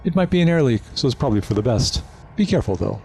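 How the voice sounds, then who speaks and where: A man's voice: medium pitch, very animated, very fast, vocal fry, a little mellow. A man playing a polite, eccentric crew member in an audio drama, chatty and a little fussy, over noisy ambience. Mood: puzzled.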